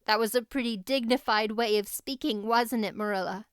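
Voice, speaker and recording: A woman voicing an excitable, talkative young girl with a gushing, dramatic, flowery manner in an audiobook. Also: a little high, normal speed, clean tone, no echo, clean studio sound, lightly acted. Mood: blissful, dramatic. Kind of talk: audiobook character voice.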